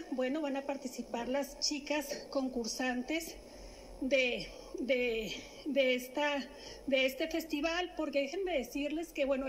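A middle-aged woman, a city official, announcing an event at a press conference into a stand microphone, speaking haltingly with many fillers and restarts. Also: high-pitched, a little hiss or hum, rough recording. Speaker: municipal government official. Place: indoor press room.

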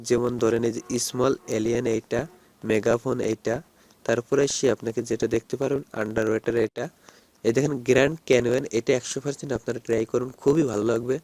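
A man's voice: medium pitch, darkish tone. A man giving a casual phone tutorial, repetitively addressing his viewers as friends. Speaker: host of phone app tutorial videos. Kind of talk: casual screen-recorded tutorial.

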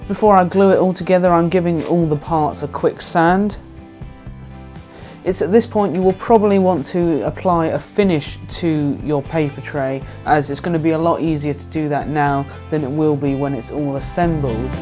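A young adult man, host of a woodworking channel, calmly narrating each step of a build in an instructional voice-over. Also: fairly high pitch, normal speed. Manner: calm, explains each step plainly. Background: background music.

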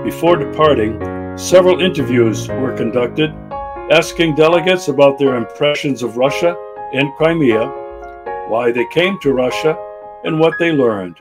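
A man narrating a travel documentary in a calm, measured way. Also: medium pitch, poor audio. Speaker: narrator and interviewer. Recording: voice-over with loud background music.

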